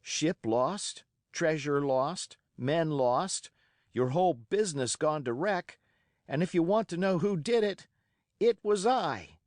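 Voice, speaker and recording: A man voicing a bold young cabin boy who speaks in an excited, defiant rush. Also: mid-range voice, unhurried, hardly any pauses, no room sound, studio quality, voice pushed up. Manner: breathless, rising, defiant pleading.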